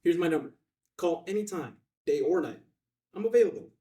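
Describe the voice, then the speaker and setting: An actor at a table read playing a pushy, excitable younger man who is quick to snap. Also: on the high side, natural voice. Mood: eager, sheepish. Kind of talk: screenplay table read acting. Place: indoor filmed table read. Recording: slightly distant room microphone.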